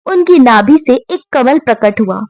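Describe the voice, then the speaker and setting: A woman with a fairly high voice narrates devotional stories from a script. Her delivery is very slow, measured and reverent. The sound is dry and close, with hardly any room to it. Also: animated, a little mellow, no echo. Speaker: storyteller.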